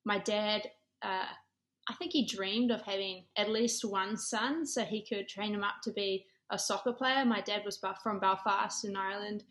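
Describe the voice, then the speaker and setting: A woman's voice: mid-range voice, fairly even intonation, moderate pace, fairly bright tone. A woman in her thirties with a New Zealand accent, talking conversationally as an interview guest and telling stories. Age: 30s. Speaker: podcast guest, former college golfer and ministry director.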